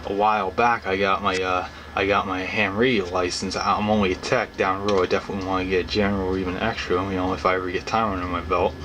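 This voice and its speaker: Low-voiced young man, noisy recording. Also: level tone, fast, hardly any pauses. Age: young adult. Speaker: hobbyist vlogger. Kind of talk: casual explanatory talk to camera. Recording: clearly noisy background ambience.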